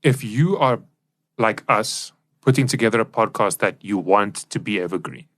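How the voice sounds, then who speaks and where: A man co-hosts a podcast with a low voice and a very dry, close sound. He explains in a plain-spoken, emphatic, conversational way. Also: moderate pace, a bit bright, dry recording. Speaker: podcast co-host and producer.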